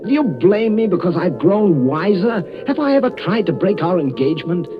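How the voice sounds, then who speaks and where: An actor playing a defensive younger man, a miser, speaking deliberately in theatrical 1930s radio style. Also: high-pitched, big pitch swings, over music. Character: defensive young male miser questioning his fiancée. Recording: muffled 1930s radio broadcast.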